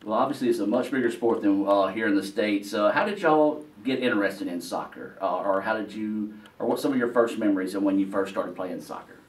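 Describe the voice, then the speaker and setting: A man's voice: on the low side, brisk, hardly any pauses. A man hosting a casual interview show, asking folksy questions with lots of fillers. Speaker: talk show host. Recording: roomy, distant, faint hiss.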